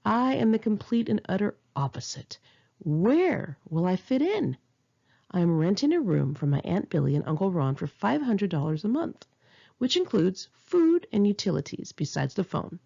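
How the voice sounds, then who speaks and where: Expressive, gravelly woman reading. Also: mid-range voice, normal speed, muffled recording. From United States. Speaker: author reading own memoir. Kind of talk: memoir audiobook reading.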